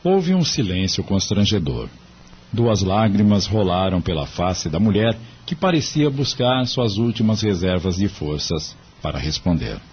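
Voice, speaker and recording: Male Brazilian narrator for an audio novel, lowish and very animated; muffled, noisy recording. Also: unhurried. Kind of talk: scripted dramatic narration. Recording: muffled lo-fi audio, clear background noise.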